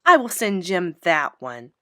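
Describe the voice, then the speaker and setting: A woman voicing an excitable, blunt little kindergarten girl with gushing, childish, emphatic speech for a storybook. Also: on the low side, a little slow, dry recording, clean studio sound, full character voice. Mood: smug.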